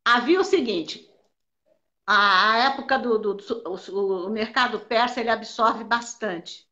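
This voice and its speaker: An older woman from Brazil recalls her dance career in a bright, forceful voice that sits a little high. She talks in an animated way and repeats words for emphasis. The sound is dull and lo-fi, like audio from an online call. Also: a little slow. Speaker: belly dance teacher, interviewee. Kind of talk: casual interview answers.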